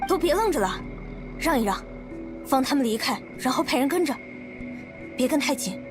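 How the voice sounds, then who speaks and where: A high pitched confident game leader woman, brisk and commanding, music clearly behind her. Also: expressive. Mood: resolute. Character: confident, decisive female leader rallying her people. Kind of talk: scripted anime game voice acting.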